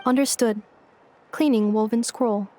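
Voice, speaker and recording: A woman voicing a dutiful robot maid in a video game, formal, literal and deadpan, as if cataloguing. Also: fairly high pitch, unhurried, slight background noise, lightly acted. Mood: clinical.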